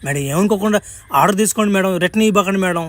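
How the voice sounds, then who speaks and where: A woman's voice: mid-range voice, rapid-fire, lightly acted. A woman voicing a hapless young man in a comic cartoon, pleading and feeling sorry for himself. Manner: pleading, lamenting. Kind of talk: comic cartoon dubbing in Telugu.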